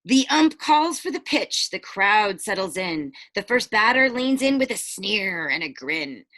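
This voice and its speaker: A woman teacher reading a picture book aloud to children, expressive, with chatty explanations and questions for the listeners. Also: mid-range voice, measured pace.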